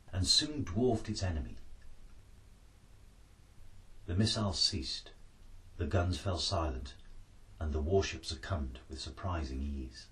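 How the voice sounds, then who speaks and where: A man's voice: low voice, far from the mic, poor audio. A man reading an adventure story aloud as a dramatic scripted narration. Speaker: author-narrator reading his book.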